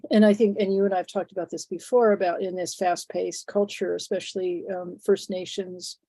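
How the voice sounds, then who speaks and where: A woman in her sixties, phlegmy and sniffly from a head cold, speaks as an interview guest in a thoughtful, halting way full of fillers and restarts. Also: mid-range voice, fairly even intonation, warm tone, no room sound. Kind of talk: reflective conversational interview.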